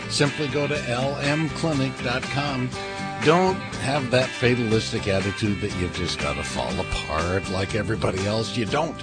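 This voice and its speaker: A man's voice: mid-range voice, moderate pace, loud music behind the voice. A man hosting a live radio show, giving announcements and signing off in a conversational way.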